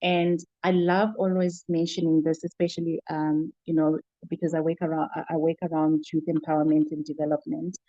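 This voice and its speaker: A middle-aged woman with a Zimbabwean accent, giving reflective, halting answers as an interview guest. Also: sits low, flat delivery, mellow, dry recording, lo-fi sound. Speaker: interview guest, child protection worker.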